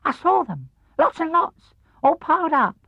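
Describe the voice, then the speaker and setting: A man's voice: very high voice, sing-song, unhurried, vocal fry, dry recording, muffled recording, voice pushed up, full character voice. A man voicing a small, timid piglet from a children's storybook, earnest, hesitant and eager.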